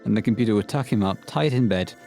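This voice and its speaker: A man's voice: fairly low pitch, fast, gravelly, a little mellow, faint music behind. A man reading scripted narration as the storyteller of an audio horror play.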